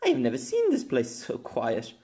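A man's voice: extremely high pitch, huge pitch swings, normal speed, vocal fry, dark tone, pitched above the performer's own voice, full character voice. A man voicing a teasing, giggly young lady with quaint, formal phrasing in an amateur audiobook. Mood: playful, musing. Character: giggly, teasing, old-fashioned young lady, easily delighted.

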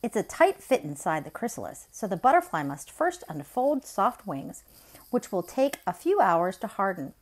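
A middle-aged woman reading a children's nonfiction picture book aloud as story time host, in an expressive, deliberate way. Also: mid-range voice.

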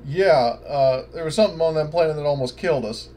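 A man's voice: on the high side, a little fast, mellow. A man chatting casually as a player in an online tabletop role-playing game, often speaking in character. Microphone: online voice call.

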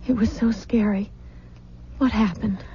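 An actress playing an anxious, affectionate young bride-to-be in a radio drama, earnest and easily unsettled. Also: female voice, medium pitch, fairly even intonation, a little slow, mellow, lightly acted. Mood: uneasy. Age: young adult. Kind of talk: 1970s radio drama acting. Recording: muffled, noisy 1970s radio recording.

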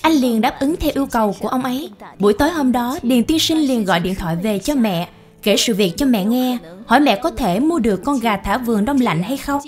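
A woman's voice: a little high, expressive, brisk. A woman reading scripted story narration as the narrator of a moral tale. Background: soft music.

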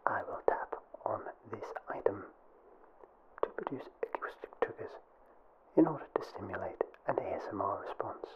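A young man narrating a scripted ASMR information film in a soft, breathy whisper with a formal, deliberate, mock-official delivery. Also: lowish voice, animated, highs cut off. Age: young adult. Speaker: ASMR video creator, film presenter.

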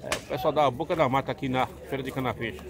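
A man's voice: medium pitch, fast talker. A man from Northeast Brazil walking around outdoor cattle pens, chatting to viewers and calling out prices on his phone camera. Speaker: vlogger showing a cattle market. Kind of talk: casual walk-around commentary. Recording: crowd noise of a busy market.

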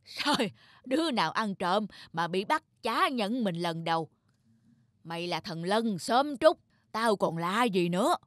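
A man's voice: high-pitched, lively intonation, a little slow, fairly bright tone, no echo, studio quality. A man voicing a spiteful, quarrelsome older male neighbor in a cartoon, with a scornful, snapping manner. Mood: menacing. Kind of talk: cartoon voice acting.

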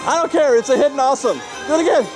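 A man vlogging casually through a theme park, excitable and jokey, laughing often. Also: very high voice, rapid-fire, rough recording. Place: outdoors in a busy theme park and indoor shop. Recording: loud music and crowd behind.

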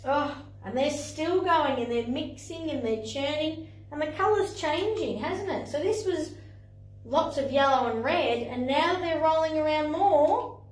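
A young woman, a playgroup facilitator, tells a picture story for toddlers and their parents in an animated, sing-song way, with lots of exclamations. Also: high-pitched, unhurried, distant mic. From Australia. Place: indoor playroom, in front of a screen. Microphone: far camera. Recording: echoey room, voice from afar.

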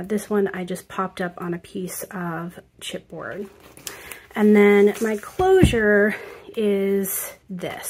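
A woman calmly narrating a walkthrough of a handmade album, explaining each step with fillers. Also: mid-range voice. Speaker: craft video creator. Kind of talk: casual craft walkthrough narration.

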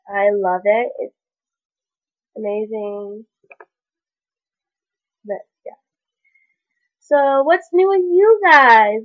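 Young woman vlogger, stuffy congested voice, rambling chat, fairly high pitch, lo-fi webcam sound. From Canada. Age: young adult. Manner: chatty, rambling, many "like" fillers. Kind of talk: casual chat to camera. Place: small bedroom indoors. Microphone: webcam at desk distance. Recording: dull lo-fi webcam audio.

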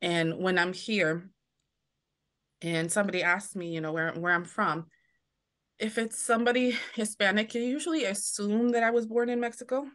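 A woman's voice: mid-range voice, steady tone, normal speed, fairly bright tone. A woman from the United States, a podcast guest talking casually and at length, often restarting sentences and acting out the people she quotes. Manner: chatty, many restarts, acts out quoted voices. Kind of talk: casual interview chat.

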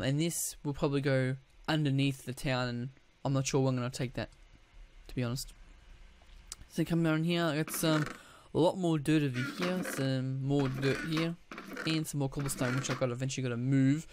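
A man with a croaky, just-woken-up voice, giving casual gameplay commentary, rambling with restarts and throat clearing. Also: medium pitch, dry recording. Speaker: gaming YouTuber.

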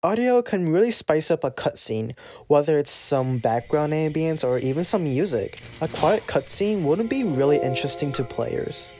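A casual, playful host narrating a scripted software tutorial. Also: mid-range voice, sing-song, normal speed.

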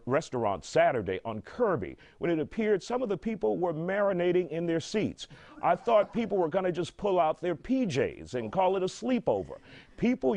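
Casting: middle-aged American male TV host, highly expressive pitch, playfully exasperated, close dry studio sound. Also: no echo. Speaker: TV show host. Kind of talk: opinionated studio talk show hosting.

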